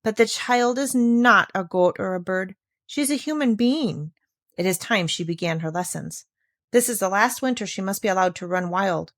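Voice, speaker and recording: A woman's voice: mid-range voice, moderate pace, no room sound, studio quality, close to an everyday voice. A woman voicing a kindly old village pastor in a storybook, earnest and formal in manner. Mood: reproachful.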